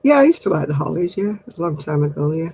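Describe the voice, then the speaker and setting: Husky older woman with an Oldham accent. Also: low-pitched, big pitch swings, quick pace, mellow. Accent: Oldham and Manchester. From Royton, Oldham, England. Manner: rambling, homely, chuckles often. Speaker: livestream host. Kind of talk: casual chat answering live comments.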